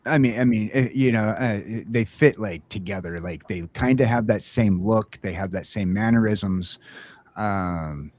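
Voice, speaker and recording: Male podcast host, lowish voice, informal and rambling, often restarting his sentences. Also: darkish tone. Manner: rambling, halting, frequent false starts. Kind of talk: casual chat about film franchises.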